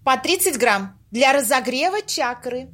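A woman's voice: high-pitched, animated, unhurried, a bit bright, exaggerated voice. A woman acting a bossy, grumbling séance organiser in an amateur audio play, snippy and colloquial as she gives instructions. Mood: businesslike.

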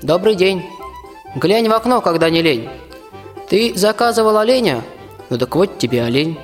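Highish-voiced plain-spoken peasant soldier played by a man. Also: lively intonation, normal speed. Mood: cheeky, defiant. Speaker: student radio-play actor. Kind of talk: rhymed radio play acting. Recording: reverberant hall, music underneath.

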